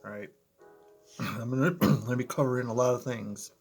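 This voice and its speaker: Slightly hoarse man chatting to camera. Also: medium pitch, fairly even intonation, normal speed, soft background music. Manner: conversational, talks straight to viewers, frequent "you know" and "right?". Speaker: motivational vlogger. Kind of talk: casual explainer vlog. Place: his apartment, indoors. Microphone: close to camera, in front of computer.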